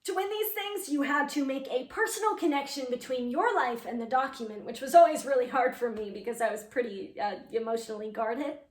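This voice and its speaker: A woman's voice: sits high, brisk, hardly any pauses, far from the mic, close to an everyday voice. A woman playing an earnest, eager playwright reliving her teenage speechmaking self, in a chatty, emphatic theatrical monologue addressed to an audience. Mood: wry, awkward. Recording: echoey room.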